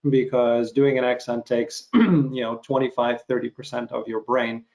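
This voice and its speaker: Gravelly male interviewer, musing and halting. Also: mid-range voice, steady tone, a little mellow, slight background noise, poor audio. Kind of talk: conversational interview.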